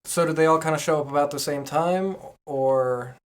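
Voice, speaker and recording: A woman's voice: low voice, moderate pace. A woman hosting a podcast, casually chatting and asking questions of a co-host. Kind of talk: casual chat, interviewing a co-host.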